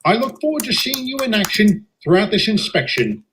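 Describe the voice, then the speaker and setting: A man's voice: high voice, sing-song, fairly quick, a bit bright, a little hiss or hum, pitched above the performer's own voice. A man voicing a stout, genial older railway director who speaks in a polite, formal, jovial way in a fan audio drama.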